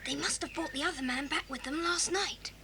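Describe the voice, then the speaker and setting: A woman's voice: high voice, brisk. A woman playing a keen, daring young adventurer with an English accent in a children's audio drama. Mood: hushed, resolute. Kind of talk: children's radio drama acting. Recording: hissy old cassette tape.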